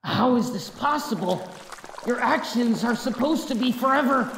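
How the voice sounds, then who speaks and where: A woman voicing a distressed, defiant young male hedgehog hero in a comic dub, with a halting, anguished delivery. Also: on the high side, expressive, very noisy. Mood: shocked. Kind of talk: scripted fan comic dub. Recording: echoey voice over background music.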